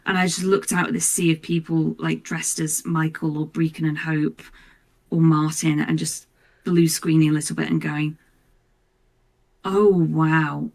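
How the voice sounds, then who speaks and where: A woman speaking casually and earnestly as an interview guest, stressing points by repeating words, with a creaky voice. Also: deep voice, flat delivery, unhurried, a little mellow. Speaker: voice actor, podcast guest. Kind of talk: casual, heartfelt interview chat.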